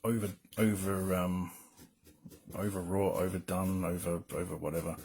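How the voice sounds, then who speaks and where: A man with a low, mumbling voice gives casual, rambling commentary while drawing on a livestream as a comic colorist, with self-deprecating asides. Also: little pitch movement, moderate pace, darkish tone, a little hiss or hum.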